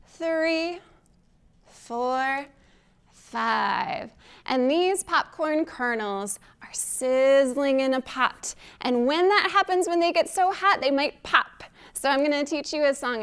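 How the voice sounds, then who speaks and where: Slow, high-voiced woman telling children's stories. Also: clear, resonant tone. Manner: deliberate, expressive, addressing children with questions. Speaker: children's librarian hosting storytime. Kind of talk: read-aloud storytelling for young children.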